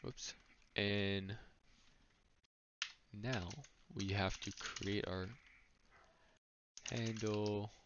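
A man's voice: on the low side, level tone, very slow, pauses often. A man explaining code as he types, thinking aloud with small slips. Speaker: coding tutor. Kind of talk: screen-recorded coding walkthrough. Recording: dull lo-fi mic with noise. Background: keyboard typing and clicks.

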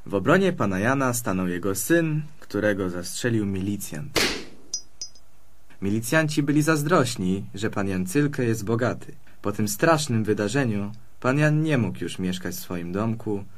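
A man's voice: lowish voice, animated, measured pace. A man playing a friendly, peaceable fox who tells stories politely and evenly in a children's audio play. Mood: storytelling. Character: friendly, peaceable male fox recounting local history.

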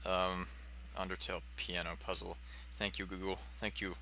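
A man's voice: deep voice, monotone, normal speed, sharp tone, slight background noise, rough recording. A man giving casual, sweary and self-deprecating commentary as he plays a video game. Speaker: let's player.

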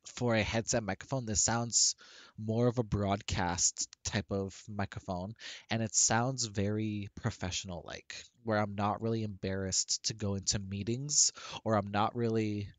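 A deep-voiced man speaks slowly and takes his time, restarting his sentences as he walks through a software setup. His headset microphone picks him up dry and close, with no room sound around him. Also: fairly even intonation, no echo. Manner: rambling with frequent restarts and fillers. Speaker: tech tutorial creator. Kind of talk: casual screen-recorded software tutorial.

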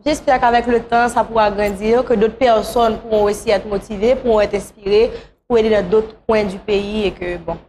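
A woman speaks as a talk show guest. Her pitch is average for a woman, and the delivery is conversational, as in a studio interview. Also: fairly even intonation, normal speed, a little mellow.

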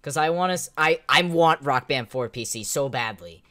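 A young man giving a casual, sweary live reaction as a game streamer, with an excitable, slangy manner. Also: a little high, moderate pace. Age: young adult. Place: indoors, small home room.

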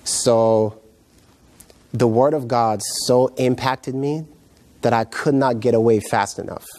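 A middle-aged man with a relaxed, dark-toned voice tells his story as a guest in a studio interview. He is reflective and halting, feeling his way through each phrase, at a medium male pitch. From Jamaica, raised in New York. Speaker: guest pastor. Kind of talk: personal testimony in a TV interview. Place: indoor TV studio set, seated.

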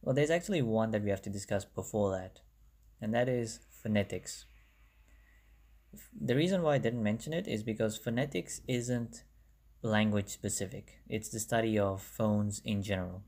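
A man's voice: lowish voice, normal speed, darkish tone. A young man with a South African accent, native Bangla speaker, talking through a language lesson in a chatty, self-correcting way, recorded on a phone. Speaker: language explainer. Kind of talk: casual narrated lesson over slides.

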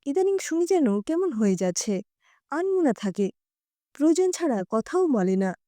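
A woman storyteller reads a scripted tale expressively. Her voice is high and mellow, with very lively intonation. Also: moderate pace, dry recording, clean studio sound.

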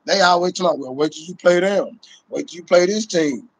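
A man's voice: high voice, fairly quick, husky, no room sound, rough recording. A middle-aged man chatting casually on a sports livestream, slangy and sweary, leaning on repeated catchphrases. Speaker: livestream host and Cowboys fan. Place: small room indoors. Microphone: phone at close range.

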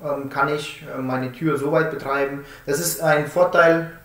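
A man's voice: fairly high pitch, steady tone, moderate pace, a bit bright. A man in his thirties giving a casual technical explanation, often tacking questioning tags onto his statements. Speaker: presenter explaining smart-home door products. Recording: roomy indoor echo.

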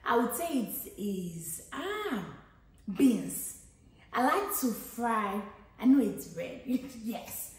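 A woman's voice: a little high, very animated, drawn-out words. A woman in her thirties from Nigeria with a Nigerian accent, chatting casually as a vlogger and thinking aloud with frequent restarts. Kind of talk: casual get-to-know-me chat. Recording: very echoey room.

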